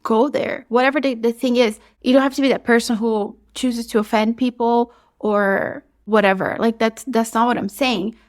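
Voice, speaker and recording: A Brazilian-accented woman in her thirties talks through a solo podcast episode. Her voice sits a little high, and she speaks in an upbeat, chatty way, filling her sentences with casual "like"s. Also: fairly even intonation, moderate pace, few pauses. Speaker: podcast host. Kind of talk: solo podcast advice talk.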